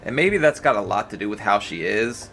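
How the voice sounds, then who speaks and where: A man's voice: fairly low pitch, animated, fairly quick, vocal fry, pushed and bright, faint music behind. A man giving casual live reaction commentary in a blunt, exclamatory, foul-mouthed way. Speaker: reaction streamer.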